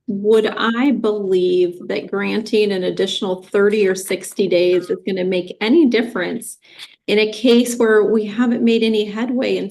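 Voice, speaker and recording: A woman judge ruling in court, speaking in a measured, firm and formal way. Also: medium pitch, darkish tone, low-quality recording.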